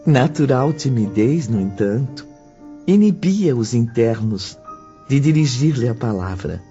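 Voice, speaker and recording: Man as expressive storyteller over music. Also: mid-range voice, measured pace. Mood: composed. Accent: Brazilian. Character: solemn male storyteller of a spiritual tale. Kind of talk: scripted narration. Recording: muffled, music bed behind.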